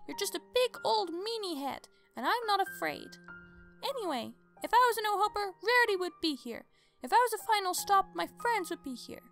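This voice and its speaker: A woman's voice: very high voice, very animated, normal speed, fairly bright tone, faint music behind, voice pushed up, heavily acted. A woman voicing a frightened but plucky little filly pony in a storybook reading. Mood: defiant.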